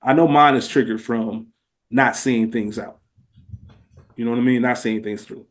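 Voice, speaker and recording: A man's voice: medium pitch, normal speed. An American man co-hosting a podcast in casual, reflective conversation, earnest and slangy, repeating phrases for emphasis. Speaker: podcast co-host.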